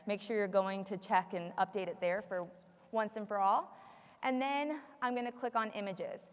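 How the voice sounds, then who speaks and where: A woman leading a live software tutorial as a trainer, explaining step by step to a room. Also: mid-range voice. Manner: explanatory, repeats audience questions for the room. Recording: long echoey room reverb.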